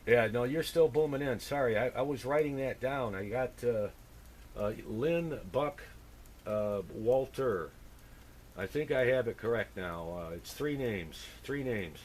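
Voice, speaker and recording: A man chatting casually as an amateur radio operator, halting and thinking aloud as he goes. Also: medium pitch, darkish tone, slight background noise. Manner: halting, thinking aloud, spells with phonetic alphabet. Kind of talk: casual two-way radio chat.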